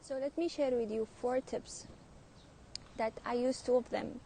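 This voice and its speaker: Young woman, student vlogger, unhurried and reflective, higher voice, faint background noise. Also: steady tone, mellow. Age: young adult. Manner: slow, thoughtful, hesitant delivery. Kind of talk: street interviews and personal vlog talk.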